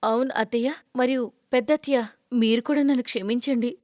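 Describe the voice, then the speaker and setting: A woman's voice: a little high, steady tone, a little fast, a little mellow, no echo, studio quality, lightly acted. A woman voicing a repentant, earnest young daughter-in-law in a cartoon story, with an apologetic manner. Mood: remorseful.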